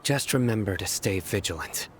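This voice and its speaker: A man's voice: on the low side, animated, moderate pace, slight background noise, lightly acted. A man playing a stern, wary young general in an anime game, speaking in a clipped, grave, commanding manner. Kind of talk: anime game cutscene dialogue. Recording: faint music behind the voice.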